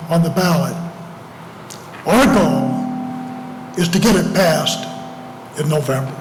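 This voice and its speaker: An older man with a raspy, slightly hoarse voice, giving a public address at a podium. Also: sits high. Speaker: community campaign speaker. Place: large public meeting room, at a podium. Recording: reverberant meeting room, clear background noise.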